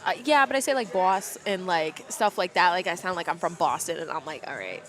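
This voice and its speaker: Bubbly Cleveland woman, lively voice. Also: lowish voice, a little fast, faint music behind. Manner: bubbly, laughing often. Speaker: livestream commentator. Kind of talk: casual color commentary. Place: powerlifting competition venue.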